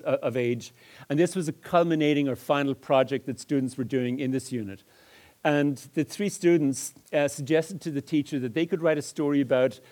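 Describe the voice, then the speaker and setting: A man delivers a public lecture in a medium-pitched voice. He explains his examples in a measured way, pausing and hesitating as he goes. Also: darkish tone. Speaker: lecturer on multilingual education.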